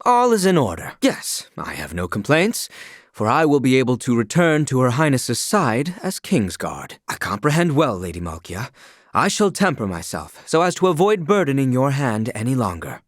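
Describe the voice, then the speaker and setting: An actor playing an earnest, proud young royal attendant in a video game, speaking in a formal, stiffly courteous, archaic way. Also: male voice, medium pitch, huge pitch swings, normal speed, no room sound, clean studio sound. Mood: formal, composed. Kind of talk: scripted game dialogue, archaic formal English.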